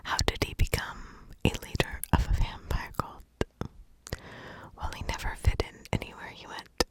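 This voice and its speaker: A woman telling a true crime story in a hushed ASMR whisper, pausing deliberately between short phrases.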